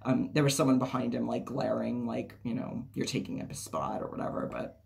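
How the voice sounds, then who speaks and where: A woman vlogger chatting casually to camera, her voice raspy and hoarse from a cold, rambling and swearing freely. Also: extremely low pitch, animated, fairly quick, darkish tone.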